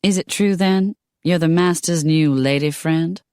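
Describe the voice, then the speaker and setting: A woman's voice: on the low side, unhurried, mellow, clear, resonant tone, no echo, studio quality, voice pushed up, lightly acted. Woman playing a prim Southern belle. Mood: disdainful. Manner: prim, disdainful. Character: Victorian southern belle, disdainful.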